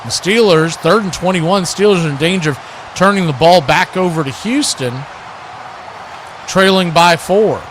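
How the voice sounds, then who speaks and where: A man calls a football game in radio play-by-play style, dropping into casual asides. His voice sits a bit high for a man. There is a steady layer of noise behind him. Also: moderate pace. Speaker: live sports play-by-play commentator.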